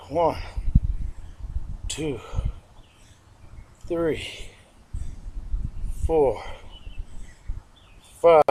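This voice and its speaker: Guy talking thru a home workout, kinda high voice, mellow, sniffly, faint hiss behind. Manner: plodding, repetitive instructions, counting reps. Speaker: amateur workout video presenter. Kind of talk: homemade workout demonstration.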